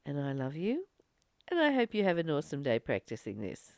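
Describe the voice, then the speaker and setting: A woman giving an informal spiritual talk as a teacher, earnest, phrasing things with deliberate, emphatic pauses. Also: low voice, huge pitch swings, a little mellow, no echo, studio quality. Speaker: spiritual teacher leading a daily course study.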